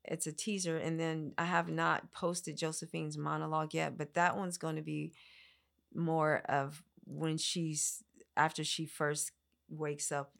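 A woman's voice: low-pitched, little pitch movement, unhurried, vocal fry, fairly bright tone. A woman from the American Midwest, a performer talking conversationally as an interview guest. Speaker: podcast guest, monologue performer.

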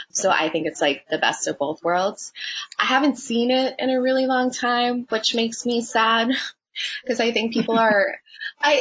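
A young woman who works as a budtender, answering interview questions in a relaxed, chatty way with lots of fillers. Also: fairly high pitch, breathy edge. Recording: dull lo-fi sound.

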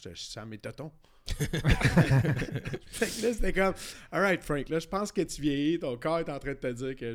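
A man in his late thirties with a Québécois accent, telling stories loosely with a podcast co-host's easy, rambling manner. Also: mid-range voice. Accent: Québécois French. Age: late 30s. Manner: rambling storyteller, lots of fillers. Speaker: podcast co-host. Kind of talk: casual chat.

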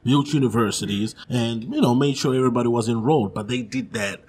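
Brief: man from Zimbabwe, thirties, husky voice, easygoing storyteller with frequent laughs. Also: medium pitch, fast, a little mellow. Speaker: comedian guest. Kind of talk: casual interview chat.

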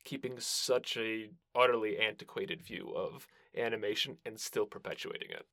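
A man's voice: on the low side, normal speed, sharp tone, dry recording. A man hosting a podcast interview, talking in a casual, conversational style.

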